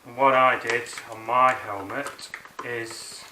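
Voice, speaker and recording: A roomy, noisy recording of a man talking some way from the mic. He explains a practical job in a chatty, colloquial way, like an informal how-to video. His pitch is average for a man. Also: steady tone, slow. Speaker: motorbike rider presenting a how-to video. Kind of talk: casual hands-on tutorial. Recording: roomy, distant mic, clear background noise.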